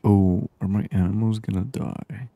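A man speaks in a low, breathy hush, softly commenting on a game as if thinking aloud. His pace is slow and unhurried. The sound is intimate and dry, close on the microphone in ASMR style. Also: steady tone, no room sound, narrow, tinny audio. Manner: hushed, murmuring, thinking aloud. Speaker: gaming video host. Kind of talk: soft-spoken ASMR gaming commentary. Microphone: close binaural microphone.

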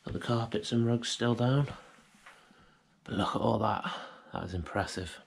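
A young British man quietly narrating as he walks through an abandoned house, musing and sometimes half-whispering, recorded on a handheld camera indoors. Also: on the low side, moderate pace, gravelly, a little hiss or hum. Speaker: urban explorer vlogger. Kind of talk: casual walkthrough commentary. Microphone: handheld action camera.

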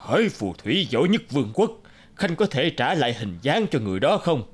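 A man's voice: mid-range voice, sing-song, fairly quick, slightly rough. A man voicing a caring, solemn middle-aged king and father in a fairy-tale cartoon. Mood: regal. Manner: solemn, emotive.

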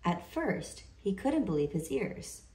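A woman reading a children's picture book aloud in an expressive, deliberate storytelling manner. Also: lowish voice. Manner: slow, deliberate, animated delivery for children. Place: indoor room. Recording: roomy indoor echo.

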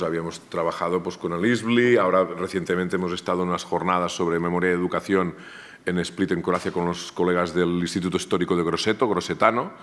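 A man with a Catalan accent and a very low voice lectures at a seminar table in a university room. He speaks loosely, often stopping to repeat a word or restart a phrase. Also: fairly bright tone. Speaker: academic speaker. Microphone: table microphone, far camera.

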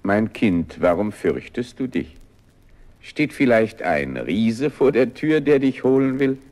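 A stern royal father from a storybook radio play. He speaks very slowly, with strong swings in pitch and an admonishing manner. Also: animated, darkish tone. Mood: questioning. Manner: measured, admonishing. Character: stern, principled king and father. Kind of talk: fairy-tale radio play acting.

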